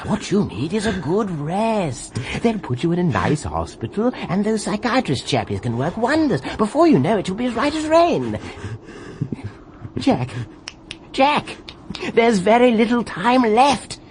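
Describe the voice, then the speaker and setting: Animated, fussy British air force officer. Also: male voice, sits high, measured pace, slight background noise, full character voice. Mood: anxious pleading. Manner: polite, hesitant, coaxing. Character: anxious, polite British RAF officer, coaxing and fussy. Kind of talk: radio drama acting.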